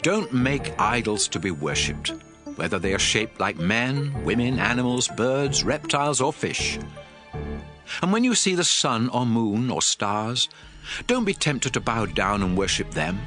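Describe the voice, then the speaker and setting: A man's voice: medium pitch, expressive, measured pace, lightly acted. A man playing a stern, aged prophet exhorting his people in a solemn, emphatic dramatized scripture reading. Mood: stern warning. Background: music.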